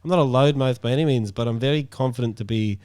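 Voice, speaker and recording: A man's voice: mid-range voice, fast, darkish tone, clear, resonant tone, dry recording. A man in his thirties with an Australian accent, a musician in casual talk, blunt and plain-spoken with frequent swearing. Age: 30s. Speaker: musician guest. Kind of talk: casual chat.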